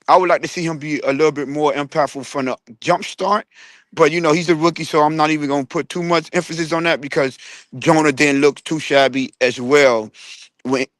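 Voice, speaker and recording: A man talks football in a loose, rambling way, stopping and restarting often and throwing questions to the panel. His voice sits a bit high for a man. The sound is close and dry. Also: dry recording. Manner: rambling, many restarts and fillers. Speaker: podcast host. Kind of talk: casual sports chat. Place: online audio room.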